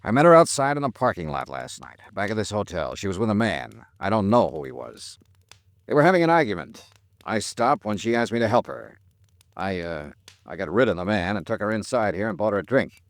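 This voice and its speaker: A hard-boiled American male investigator from an old radio noir show. His voice sits low but moves around a lot. He is terse and sardonic, clipping his answers with dry wit. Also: big pitch swings, fairly quick, dry recording. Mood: curt, impatient. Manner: clipped, wry, dry delivery. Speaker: detective lead and narrator. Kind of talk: old-time radio noir detective drama acting.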